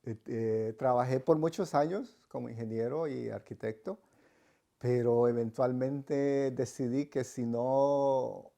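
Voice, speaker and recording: Middle-aged man, architect, gravelly and mellow, thoughtful, faint music under him. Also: medium pitch, fairly even intonation, slow. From El Salvador. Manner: thoughtful, many fillers, mixes in English. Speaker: architect and engineer, interviewee. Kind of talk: reflective interview.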